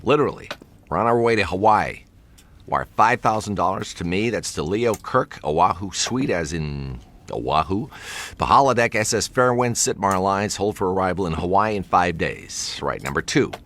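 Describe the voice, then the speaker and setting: A man's voice: deep voice, fairly bright tone. A man acting in a radio drama as a wry jazz pianist turned amateur sleuth, with a dry, hesitant manner.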